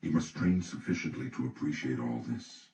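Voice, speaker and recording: Man, audiobook narration, dark mellow tone, solemn deliberate delivery, echoey room, faint background noise. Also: a bass voice, vocal fry. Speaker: audiobook reader. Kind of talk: scripted audiobook reading. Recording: roomy, distant mic, faint noise.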